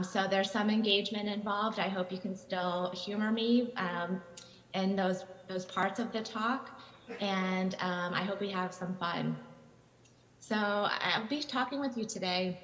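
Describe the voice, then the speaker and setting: A woman's voice: mid-range voice, fairly bright tone, poor audio. A woman in her forties, from Taiwan, gives a conversational lecture over a video call from home, with frequent ums and restarts. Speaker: guest lecturer. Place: home room with bookshelves. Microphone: video call webcam.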